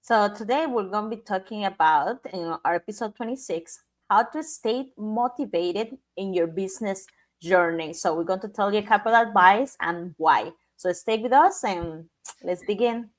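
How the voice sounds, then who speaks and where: A woman's voice: mid-range voice, normal speed. A woman from Cuba co-hosting a talk show, speaking plainly through lists of names. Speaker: podcast co-host.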